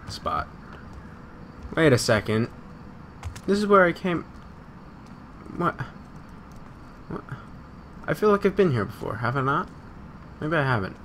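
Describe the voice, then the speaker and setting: Low-voiced sweary male gamer. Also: fairly bright tone, a little hiss or hum. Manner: muttering to himself, sweary, repetitive. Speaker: gamer recording a let's play. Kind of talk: casual gameplay commentary.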